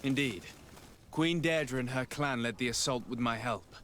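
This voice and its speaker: A man playing a composed noble diplomat and tactician in a video game, speaking formally and in a measured way. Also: medium pitch, a bit bright, close to an everyday voice. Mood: courteous. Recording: outdoor ambient noise behind voice.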